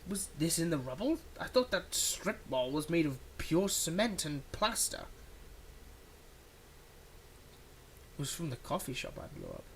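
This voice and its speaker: A performer acting out a dramatic supervillain whose medium-pitched voice swings widely between threat and soothing. The villain is theatrical and exasperated, with a soft heart under the menace, in an audio roleplay with noticeable background noise. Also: huge pitch swings, normal speed, poor audio. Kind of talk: ASMR audio roleplay, one-sided dialogue to the listener.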